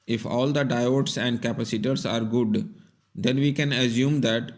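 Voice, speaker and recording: A man's voice: mid-range voice, a little mellow. A man giving scripted, step-by-step instructional narration in a plain, methodical way. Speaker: repair tutorial narrator.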